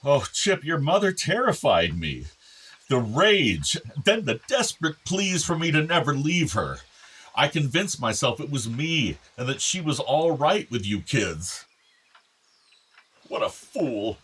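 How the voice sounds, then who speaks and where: An actor playing an ailing, tender older father in a radio play, reflective and gently earnest. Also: mid-range voice, sing-song, unhurried, breathy edge, exaggerated voice, rough recording. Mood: anguished regret. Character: ailing, tender, wistful aging father of two grown sons.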